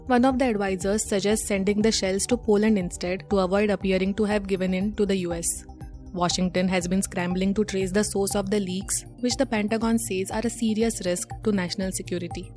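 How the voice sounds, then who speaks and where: A woman's voice: medium pitch, steady tone, fairly quick, a little mellow, soft background music. A woman with an Indian accent presenting a scripted news bulletin as a newsreader.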